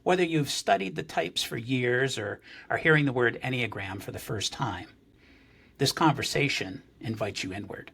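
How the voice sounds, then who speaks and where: A man's voice: mid-range voice, moderate pace, gravelly, fairly bright tone, husky. A man hosting a spiritual interview podcast, talking earnestly with frequent fillers and restarts. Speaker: podcast host.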